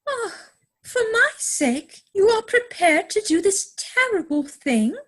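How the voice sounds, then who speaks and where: A poised young lady of society, played by a woman, speaks slowly in a high voice with sweeping melody. She is affected and dramatically earnest, as in a period comedy reading. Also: sing-song, a bit bright, full character voice. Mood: adoring.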